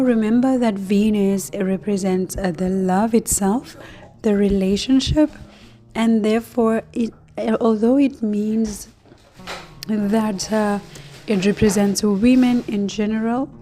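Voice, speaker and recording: Slow, mellow-voiced hoarse woman. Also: mid-range voice. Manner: slow, relaxed, many fillers and light laughs. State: losing her voice, deeper than usual. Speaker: astrology channel host. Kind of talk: casual explainer to viewers.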